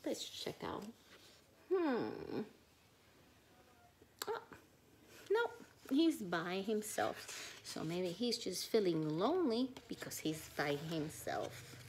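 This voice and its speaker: A woman teacher reading a children's storybook aloud, expressive and questioning, pausing to think out loud. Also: medium pitch, a little hiss or hum.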